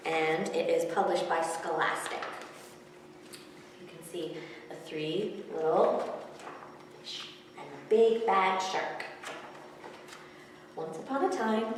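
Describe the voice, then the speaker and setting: A woman's voice: on the low side, a little mellow. A woman in her thirties presenting and reading picture books aloud to children. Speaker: children's library storyteller. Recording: echoey hall, distant mic, background noise.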